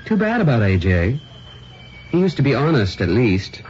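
A man's voice: medium pitch, huge pitch swings, moderate pace, a little mellow. A man playing a sardonic, put-upon junior editorial employee in a radio drama. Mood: sarcastic. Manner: wry, sarcastic, hesitant on the phone. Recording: muffled lo-fi, faint background noise.

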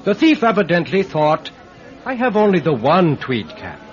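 An actor playing a stubborn, suspicious Irish villager with a pointed, needling manner in a radio drama. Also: male voice, on the high side, very animated, normal speed. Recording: dull, muffled radio-drama recording.

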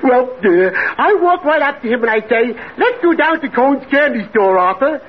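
An actor playing a dim-witted, cheerfully foolish tavern regular in a 1940s radio comedy, earnest and hesitant. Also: male voice, extremely high pitch, big pitch swings, moderate pace, full character voice. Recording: tinny, narrow old radio broadcast.

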